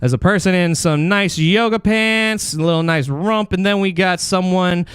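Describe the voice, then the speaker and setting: A man's voice: high-pitched, normal speed, fairly bright tone, smooth, no echo. A man hosting a bantering comedy game show on a podcast, with crude, profane, jokey talk.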